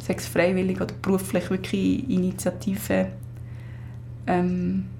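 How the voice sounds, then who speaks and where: A woman's voice: lowish voice, monotone, measured pace, vocal fry, warm tone, a little hiss or hum. A female project leader answers interview questions in a rambling way, with many fillers and restarts.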